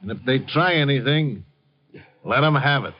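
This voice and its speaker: Male gangster heavy, acted, medium pitch, cocky sneer, slangy menace, muffled 1950s radio crime drama. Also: lively intonation, moderate pace, a little hiss or hum, lightly acted. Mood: cold, threatening. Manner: sneering tough-guy slang, wisecracking threats. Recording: dull, band-limited 1950s radio recording.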